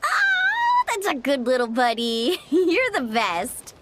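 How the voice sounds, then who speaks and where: A woman's voice: high voice, huge pitch swings, slow pace, full character voice. A woman voicing a bubbly, friendly young beach girl in a video game, breezy and gushing. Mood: delighted, coaxing. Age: young adult. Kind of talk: animated video game voice acting. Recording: loud background music.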